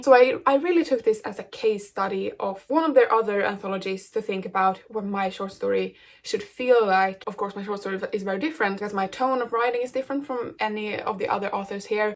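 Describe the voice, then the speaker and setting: A young adult woman whose first language is Swedish, talking earnestly in a casual vlog about her writing. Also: mid-range voice. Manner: chatty and earnest, thinks aloud. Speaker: book vlogger.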